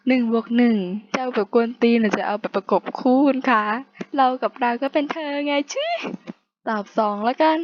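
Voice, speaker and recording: A woman's voice: on the high side, moderate pace. A young woman giving casual, playful let's-play commentary, slangy and talking to herself while reading game text aloud. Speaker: gamer playing through a horror visual novel. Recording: dull lo-fi mic with loud music. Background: loud background music.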